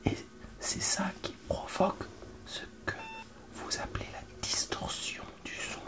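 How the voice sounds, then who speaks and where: A man playing a fussy, pedantic doctor in an ASMR roleplay, explaining things in a hushed voice. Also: unhurried, close to an everyday voice. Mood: hushed, explanatory.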